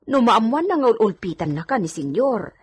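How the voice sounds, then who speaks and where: Woman as a husky, outspoken Ilocano maidservant. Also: fairly high pitch, sing-song, brisk, heavily acted. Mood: concerned. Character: outspoken, protective maidservant to a young lady. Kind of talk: Ilocano radio drama acting.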